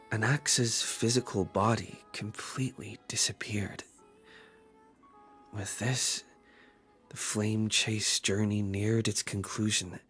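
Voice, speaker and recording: A man's voice: fairly low pitch, a bit bright. A man playing an adult hero who solemnly recounts an epic fantasy journey as scripted video game narration. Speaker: story narrator.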